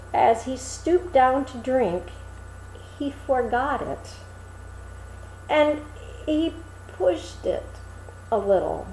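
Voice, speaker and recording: A woman reading a fairy tale aloud in an expressive, dramatic storytelling manner. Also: sits high, echoey room, a little hiss or hum, poor audio. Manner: animated, dramatic, deliberate phrasing. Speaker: storybook reader.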